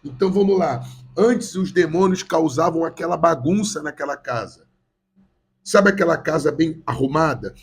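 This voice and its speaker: A man's voice: on the high side, moderate pace. A man from Brazil with a Rio de Janeiro accent preaching a sermon to a church, repeating and stressing his points, currently getting over a cold. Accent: Brazilian Portuguese, Rio de Janeiro. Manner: repetitive, emphatic preaching with direct address to the congregation. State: has a cold. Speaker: pastor.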